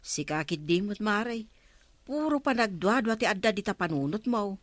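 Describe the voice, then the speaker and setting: A woman's voice: medium pitch, expressive, normal speed, no echo, natural voice. A woman with an Ilocano accent playing a frank, scolding village woman in a radio comedy drama. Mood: chiding.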